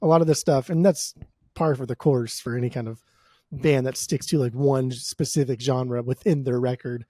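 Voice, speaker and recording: A man's voice: mid-range voice, steady tone, normal speed, warm tone, dry recording, studio quality. An American man co-hosting a podcast, talking casually about music in a profane, slangy, opinionated way. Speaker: podcast co-host.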